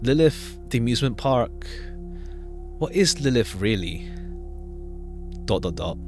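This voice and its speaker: A man's voice: mid-range voice, very animated, a little slow, darkish tone, soft background music. A man streaming a visual novel, reading the game text aloud and adding casual commentary.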